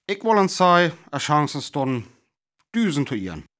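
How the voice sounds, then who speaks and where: Older male astronomy professor, radio drama: North Frisian accent, lively swooping intonation, self-assured, dismissive, halting delivery. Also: fairly high pitch. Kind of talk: radio play acting.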